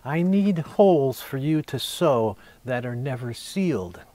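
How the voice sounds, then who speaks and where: A man in his sixties, a poet, reading his own verse intimately, in measured phrases with deliberate pauses. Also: mid-range voice, a little mellow. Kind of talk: poetry reading, intimate recitation.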